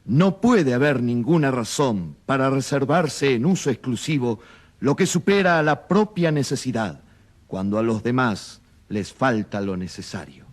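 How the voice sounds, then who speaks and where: An actor playing a solemn witness who formally recites papal teaching in a radio drama. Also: male voice, medium pitch, lively intonation, unhurried.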